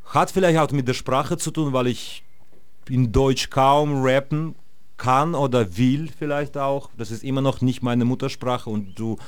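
A man's voice: mid-range voice, steady tone, a little slow, clean tone, no room sound. Man, rambling interview guest. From Russia. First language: Russian. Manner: rambling, many fillers and restarts. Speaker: guest musician. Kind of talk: casual interview.

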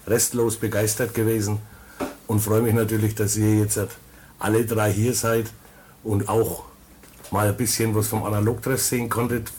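A man's voice: on the low side, darkish tone, slight background noise. A man hosting a casual radio interview, chatty and informal with loose grammar. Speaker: radio host. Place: record shop studio.

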